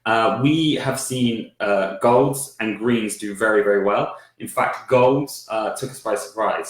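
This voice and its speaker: Croaky man in a very echoey room. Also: on the low side. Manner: hesitant, many fillers and restarts. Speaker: company founder answering viewer questions. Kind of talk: live Q&A stream.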